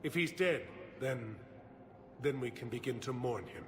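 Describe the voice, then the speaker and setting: A man's voice: mid-range voice, measured pace, noisy background, close to an everyday voice. An actor playing a worried ambassador and father in a video game, formal, grave and imploring. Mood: grieving, formal. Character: anxious male ambassador, a pleading worried father. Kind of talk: dramatic video game dialogue. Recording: roomy reverberant sound, faint background ambience.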